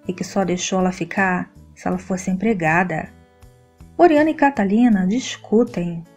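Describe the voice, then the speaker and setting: Mellow woman reading a soap recap. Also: mid-range voice. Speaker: recap narrator. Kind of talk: scripted soap opera recap narration.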